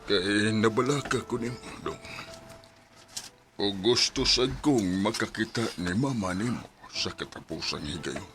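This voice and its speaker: A man's voice: on the low side, measured pace, fairly bright tone, a little hiss or hum. An actor playing a subdued, pleading middle-aged father in a radio drama. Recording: music behind the voice.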